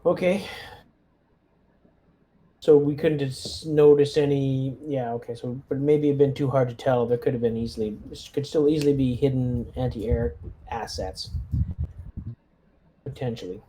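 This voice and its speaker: A man's voice: mid-range voice, measured pace, pauses often, dark tone, slight background noise, poor audio. A man chatting casually as a player in a tabletop roleplaying game, talking in a tentative, hedging way. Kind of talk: casual game planning chat.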